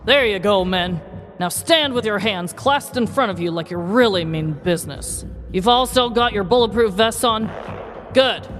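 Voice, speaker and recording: A woman's voice: mid-range voice, lively intonation, moderate pace, big-room sound, faint music behind, natural voice. A woman voicing a proud, self-important male small-town police chief in a comedy podcast story, folksy and commanding. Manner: folksy, commanding, mock-serious.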